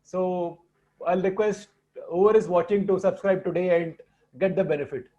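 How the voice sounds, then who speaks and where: A man with an Indian accent hosts a casual online talk in a distinctly high voice. He speaks haltingly, pausing mid-sentence as he presents. The audio is dull and lo-fi. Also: fairly even intonation, mellow. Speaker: host presenting a video platform.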